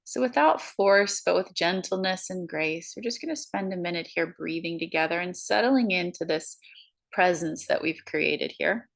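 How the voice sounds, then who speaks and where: A woman guiding a breathing meditation, counting slowly in a soft, soothing way. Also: on the low side, expressive, vocal fry, poor audio. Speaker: meditation guide.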